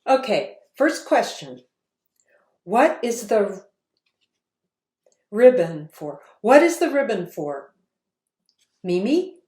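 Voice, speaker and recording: Woman author, children's read-aloud, unhurried, roomy sound. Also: medium pitch. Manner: slow, expressive storytelling. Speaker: author reading her book aloud. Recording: roomy echo.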